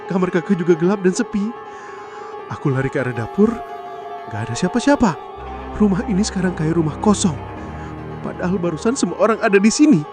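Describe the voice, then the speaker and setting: A man narrating a horror story in the first person, suspenseful and theatrical, pausing between short phrases. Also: sits high, expressive. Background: background music.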